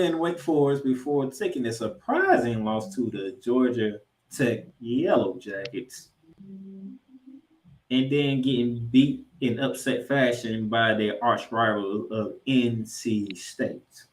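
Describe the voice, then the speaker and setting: An American man in an echoey room hosts a sports show. He talks through football matchups in an emphatic, colloquial way, with a mid-range voice. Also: mid-range voice. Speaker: sports podcast host. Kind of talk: animated football recap and preview. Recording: roomy, echoing sound.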